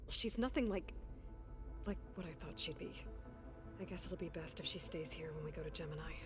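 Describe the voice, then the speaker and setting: A woman's voice: lowish voice, lightly acted. A woman playing a determined young explorer in a video game, plain-spoken and earnest. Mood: troubled. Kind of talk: naturalistic video game voice acting. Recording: game audio with music behind. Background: game music.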